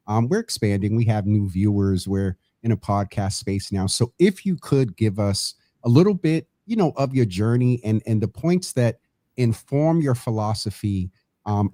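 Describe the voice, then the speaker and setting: A man's voice: medium pitch, mellow, no room sound. A male show host asking long, winding interview questions with many fillers. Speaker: podcast host. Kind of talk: interview hosting. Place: studio room.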